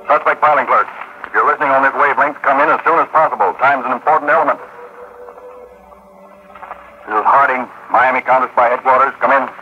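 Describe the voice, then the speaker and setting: An actor playing a steady, commanding American counterspy chief in a 1940s radio drama, speaking in a clipped, cool way with an American accent. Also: male voice, mid-range voice, fairly quick, pauses often, natural voice. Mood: brisk, urgent. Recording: muffled, hissy 1940s radio broadcast.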